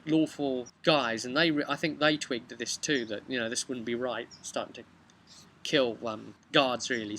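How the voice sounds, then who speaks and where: A man in his thirties talking casually to camera as a game master, conversational and a little halting, thinking aloud as he goes. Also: medium pitch, a bit bright, slight background noise. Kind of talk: casual vlog recap of a role-playing session. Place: outdoors on a bench beside a brick house.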